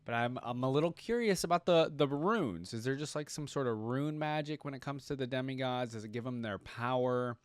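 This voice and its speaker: A man's voice: medium pitch, brisk, hardly any pauses, no room sound. A man giving casual reaction commentary as a video host, curious and often musing aloud.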